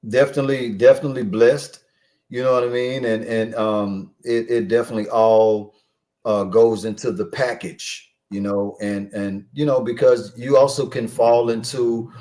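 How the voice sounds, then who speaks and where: A man's voice: medium pitch, steady tone, normal speed, dark tone. A man hosting a radio interview, laid-back and casual, using slang and many fillers like "you know what I mean" as he asks his questions. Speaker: radio show host.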